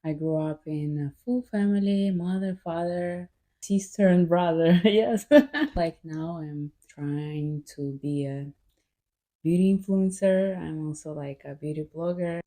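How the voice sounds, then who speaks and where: A young woman from Kazakhstan, a podcast guest telling her story in a halting way with fillers. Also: fairly low pitch, mellow. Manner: halting, with fillers and frequent laughs. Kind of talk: casual interview answers.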